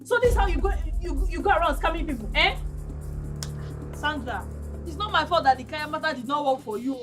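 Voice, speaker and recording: A woman's voice: high voice, expressive, fairly quick. A woman playing a fiery Nigerian woman. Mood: angry. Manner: scolding, loud, emphatic. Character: fiery young woman confronting a scammer. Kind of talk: comic skit acting. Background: music.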